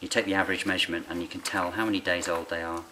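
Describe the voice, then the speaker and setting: A middle-aged man, a licensed bird ringer, calmly explaining his fieldwork while handling birds in a farm barn. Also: sits low, very fast. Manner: calm, quiet, hands-on explaining with fillers. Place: open farm barn on straw, outdoors air. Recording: noisy outdoor camera recording.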